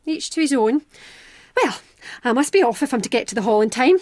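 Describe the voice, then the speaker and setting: An actress in a radio drama playing a brisk, tart Scottish housekeeper, proper and pointed in manner. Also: female voice, fairly high pitch, animated, a little mellow, no echo, studio quality.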